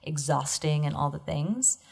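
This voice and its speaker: A woman's voice: sits low, measured pace, vocal fry, husky. A young adult woman from Texas, guest on a podcast, telling her story in a casual, reflective way with easy laughs. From Texas, USA. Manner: reflective, laughs easily, many fillers.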